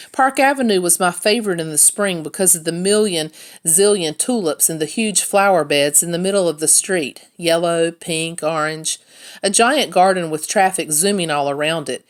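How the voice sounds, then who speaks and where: A woman reading a children's novel aloud as a first-person storyteller. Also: fairly low pitch. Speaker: read-aloud narrator, teacher.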